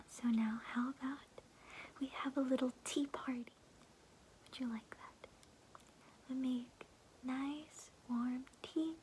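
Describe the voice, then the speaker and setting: A woman voicing a chatty, doting grandmother in a soothing, breathy ASMR roleplay whisper, rambling in a folksy way. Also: sits high, a little hiss or hum, poor audio. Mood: soothing, coaxing.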